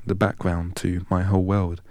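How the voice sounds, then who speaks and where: A man from England, a guest in an interview, telling his story in a measured, deliberate way. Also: low voice, level tone, a little mellow. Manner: measured and deliberate, recites long strings of numbers evenly.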